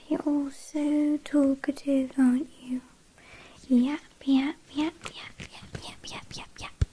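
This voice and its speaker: A British woman playing an ancient, possessive, haughty vampire in a whispered ASMR roleplay, speaking softly with quiet menace and condescension. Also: sits high, heavily acted. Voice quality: soft breathy whisper.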